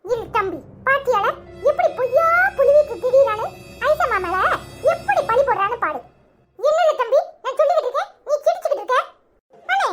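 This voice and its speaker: A woman's voice: very high voice, fairly bright tone, slight background noise, voice pushed up, full character voice. A woman voicing a gossipy young man who teases and laughs as he comments on a family quarrel in a comic skit. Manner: teasing, chatty, laughing asides.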